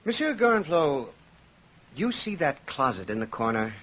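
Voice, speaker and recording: An actor playing a suspicious, coldly polite and sardonic aristocratic husband in an old-time radio drama, speaking with deliberate, pointed courtesy. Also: male voice, a little high, big pitch swings. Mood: falsely genial, menacing. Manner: silky, deliberate politeness with pointed pauses and repetitions. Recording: dull lo-fi vintage radio recording.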